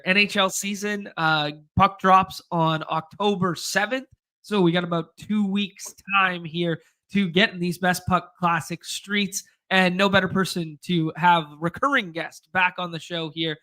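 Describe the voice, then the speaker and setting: Nasal, stuffy-voiced man with a bright high voice. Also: fairly even intonation, a little slow. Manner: upbeat, slangy hype talk. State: congested from a cold. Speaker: podcast host. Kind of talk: casual sports betting chat.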